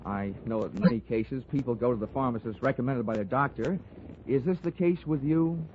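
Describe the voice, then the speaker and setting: A man's voice: medium pitch, brisk, slight background noise, natural voice. An American man playing a wisecracking hard-boiled investigator in a 1940s radio drama, sardonic and slangy as he narrates and talks. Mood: polite, businesslike. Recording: tinny 1949 radio recording, music behind.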